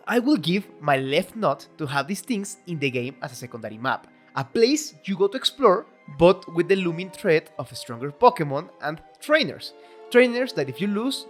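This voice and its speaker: A woman with a non-native English accent, talking in an excitable, casual video commentary, swearing now and then and joking at her own expense. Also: low voice. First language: not English. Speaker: video essay host. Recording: faint background music.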